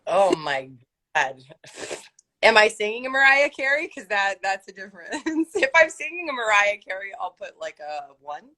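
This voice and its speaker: A woman actress being interviewed in a casual chat, relaxed and rambling, laughing often. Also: normal speed. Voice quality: calls her own voice deep. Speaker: guest actress.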